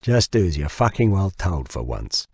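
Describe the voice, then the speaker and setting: A man voicing a burly, bossy diver in an audiobook, gruff and throaty, blunt and sweary in his banter. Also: sits low, big pitch swings, normal speed, no echo, clean studio sound, exaggerated voice. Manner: blunt, sweary, shouting orders.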